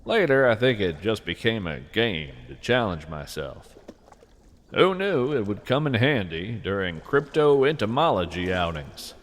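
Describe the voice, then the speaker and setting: A man with a lowish voice narrates whimsical nature fiction and poetry. His delivery is very slow and deliberate, with expressive, lively rises and falls. He sounds earnest and conversational, like a host speaking to listeners. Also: long reverb tail.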